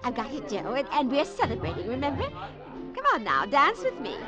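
High, swooping, flirtatious tipsy widow. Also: female voice, wildly swooping pitch, moderate pace. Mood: tipsy, giddy. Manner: gushing, stammering, breathy laughs. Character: flirtatious, tipsy, needy wealthy American widow. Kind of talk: 1940s-50s radio drama acting. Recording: dull old radio recording with music.

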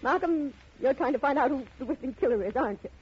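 An actress playing a brash, slangy, tough-talking dame in an old radio crime drama, defiant and scolding. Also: female voice, sits high, animated, fast, breathy edge, full character voice. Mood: sly, taunting. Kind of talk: 1950s radio drama acting. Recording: dull old radio recording.

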